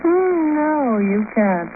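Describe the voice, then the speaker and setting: Arch socialite with swooping intonation. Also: female voice, high-pitched, full character voice. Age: 50s. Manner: arch, languid, coolly teasing. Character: rich, haughty, flirtatious socialite woman of fifty. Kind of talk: 1950s radio detective acting. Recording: noisy, tinny old radio recording.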